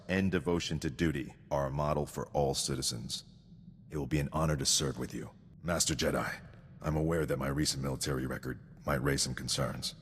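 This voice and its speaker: An extremely deep male voice as a rigid, dutiful game sergeant. He speaks with formal precision and cold devotion to victory. The sound carries long hall reverb and a rumbling noise. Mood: earnest, formal. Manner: formal, precise, recites statistics. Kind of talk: scripted video game acting.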